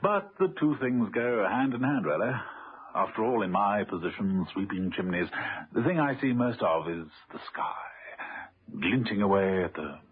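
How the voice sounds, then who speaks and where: An actor playing a cheerful, courtly, posh British chimney sweep with flowery, hesitant speech in a muffled radio play. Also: male voice, fairly low pitch, very animated, normal speed, slightly rough. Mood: jovial, affable. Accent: upper-class British. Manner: flowery, plummy, genteel and hesitant. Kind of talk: radio drama acting. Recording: muffled old radio recording.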